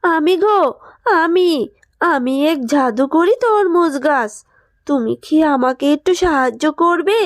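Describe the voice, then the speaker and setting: A kindly magical watermelon plant from a cartoon fairy tale, acted by a woman. It speaks in a high, plaintive voice, like one long burdened. The recording is very dry. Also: expressive, slow pace, dry recording. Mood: pleading. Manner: plaintive, pleading. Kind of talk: Bengali children's cartoon dubbing.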